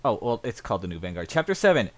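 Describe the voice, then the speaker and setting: A man's voice: lowish voice, big pitch swings, racing. A man hosting a casual chat in an encouraging manner. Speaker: host.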